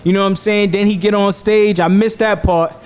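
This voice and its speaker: A man hosting a laid-back interview show, his voice sitting unusually high for a man. He keeps things upbeat, hypes his guest, and fills gaps with quick affirmations. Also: steady tone, smooth, soft background music. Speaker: podcast host. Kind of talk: casual interview chat.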